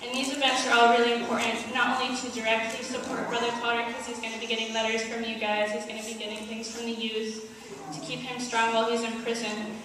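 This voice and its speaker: Female activist speaker, emphatic but flat in intonation, distant mic, heavy hall reverb, prepared remarks. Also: a little high, fast talker. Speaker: activist committee member giving closing remarks. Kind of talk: prepared community speech, appeal to get involved. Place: large indoor hall at an Islamic center. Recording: echoey hall, distant mic, faint noise.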